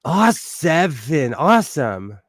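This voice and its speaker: A middle-aged man hosting a casual live stream, chatting and laughing often with quick asides. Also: a little high, big pitch swings, no room sound, clean studio sound. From Mexican-American family. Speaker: livestream host.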